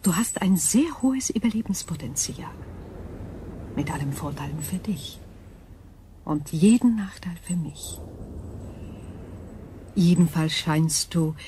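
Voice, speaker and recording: An actress playing an ancient, calm and probing crystal being in a radio drama, speaking in a measured, formal, breathy way. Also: female voice, low-pitched, slight background noise. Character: ancient female super-crystal intelligence, calm, probing, wary.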